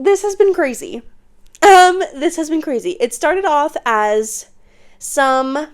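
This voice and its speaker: A young woman hosting her own podcast, chatty and bubbly, sounding congested while getting over an illness. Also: sits high. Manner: bubbly, chatty, uses slang and filler words. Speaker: podcast host. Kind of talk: casual solo podcast chat. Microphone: podcast microphone.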